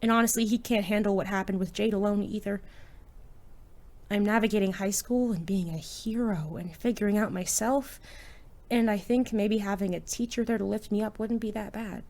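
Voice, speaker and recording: A woman's voice: medium pitch, fairly even intonation, moderate pace, darkish tone, natural voice. A young woman playing a guarded, wry teenage student who works as a superhero in an audio drama. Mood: reflective. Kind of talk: hobby audio drama acting.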